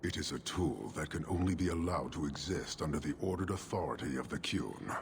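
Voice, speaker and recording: An extremely low, creaky-voiced giant warrior leader, stern and contemptuous. He delivers measured, formal judgments like a video game overlord, over clearly noisy ambience. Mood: stern, lecturing. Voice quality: gravelly. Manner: measured, formal, disdainful pronouncements. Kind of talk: video game cutscene acting.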